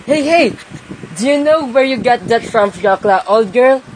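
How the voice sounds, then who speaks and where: An amateur actor playing a kindly, pious old peasant uncle in a radio play, folksy and gently chiding. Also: mid-range voice, lively intonation, unhurried, close to an everyday voice. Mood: instructive. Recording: noisy amateur home recording.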